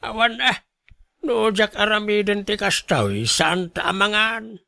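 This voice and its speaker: A man playing a wheedling, stubborn elderly beggar in a radio drama, pleading with drawn-out cries. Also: high-pitched, gravelly, sharp tone, dry recording, clean studio sound, full character voice. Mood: scheming, stubborn. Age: older. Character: wheedling, stubborn elderly husband begging on the street. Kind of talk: Ilocano radio drama acting.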